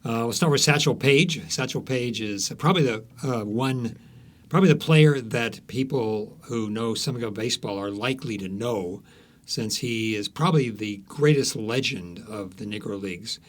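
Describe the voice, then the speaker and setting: Man with medium pitch, halting but emphatic. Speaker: author, podcast guest. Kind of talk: conversational storytelling in an interview.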